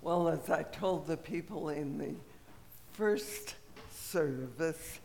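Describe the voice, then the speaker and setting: Raspy male guest speaker from Colorado, easygoing pulpit delivery, slightly high voice, faint room hum. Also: a little mellow. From Colorado, USA. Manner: unhurried, conversational, self-deprecating. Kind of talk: sermon talk. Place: large church sanctuary. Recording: echoey hall, faint room noise.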